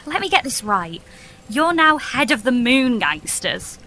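An aggrieved grown daughter in her thirties in a comic audio drama. Her voice is high, bright and animated, blunt and insistent, as she demands answers. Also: measured pace, slight background noise, full character voice. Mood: incredulous. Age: 30s. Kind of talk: audio comedy drama acting.

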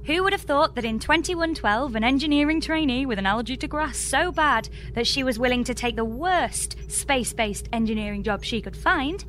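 A woman's voice: high-pitched, expressive, normal speed, few pauses, fairly bright tone, slight background noise. A woman playing a young space engineer with a British accent, earnest and exasperated, in a scripted comedy audio drama. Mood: distracted, earnest.

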